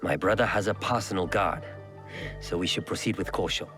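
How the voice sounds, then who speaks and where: An actor playing a courteous, cautious and sorrowful Japanese lord in a video game, speaking in a formal, measured manner. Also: male voice, low voice, a bit bright. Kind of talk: video game cutscene dialogue. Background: music.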